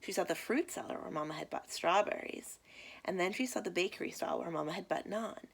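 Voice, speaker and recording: A woman's voice: on the low side, expressive, brisk, vocal fry. A woman reading picture books aloud and chatting to young listeners as a children's librarian. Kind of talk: children's picture book read-aloud and chat.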